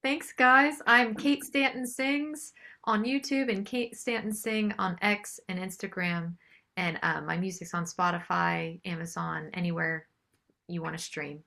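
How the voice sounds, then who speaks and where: A woman's voice: lowish voice, fairly even intonation, unhurried, gravelly, sharp tone. A woman, a singer-songwriter guest, chatting casually in an interview.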